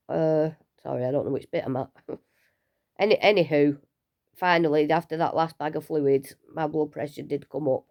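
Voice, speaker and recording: A woman, unwell and low on energy, talking casually and rambling a little in a personal vlog from home. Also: mellow. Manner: chatty, rambling, self-deprecating with small laughs. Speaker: vlogger sharing her cancer treatment.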